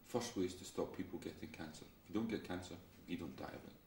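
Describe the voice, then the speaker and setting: A man giving an interview statement as a researcher, explaining points clearly one by one. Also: low voice, quick pace, darkish tone. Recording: roomy, distant, faint noise.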